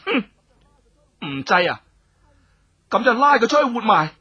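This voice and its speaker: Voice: medium pitch, very animated, a little slow, pushed and bright, husky, lo-fi sound, pitched above the performer's own voice, full character voice. A narrator voicing a cold, cruel, imperious noblewoman who speaks Cantonese with scornful, commanding menace. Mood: coldly commanding.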